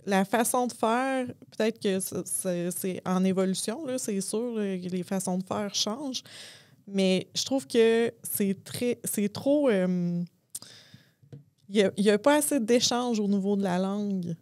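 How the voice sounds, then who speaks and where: A woman's voice: medium pitch, a little slow, a little mellow, no room sound, studio quality. A woman from Abitibi-Témiscamingue with a Québécois accent, sharing her opinions on a panel with many hesitations. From Abitibi-Témiscamingue, Quebec. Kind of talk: panel discussion.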